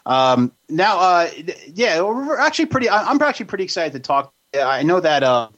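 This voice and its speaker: A man's voice: sits high, very animated, a little fast, fairly bright tone, muffled recording. A man in his late thirties hosting a podcast from a studio, rambling and swearing casually, laughing often. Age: 30s. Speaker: podcast host.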